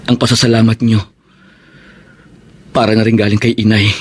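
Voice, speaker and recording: An actor with a Filipino accent playing a repentant, devoted grown son in a radio drama, solemn, respectful and sincere. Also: male voice, lowish voice, little pitch movement, normal speed, slight background noise, natural voice. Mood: tender.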